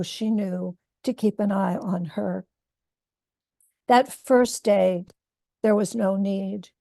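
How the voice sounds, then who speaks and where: A woman author reading her own novel aloud in a measured, literary narration style. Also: a little high, vocal fry, warm tone, no room sound.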